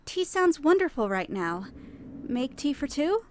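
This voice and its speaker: Woman acting an animated, high-voiced anxious schoolgirl heroine. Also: measured pace, background noise. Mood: soothing. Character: anxious, exasperated young female student, new club member. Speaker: voice actor narrating and playing the lead. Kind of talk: first-person visual novel audio drama narration. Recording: music behind the voice.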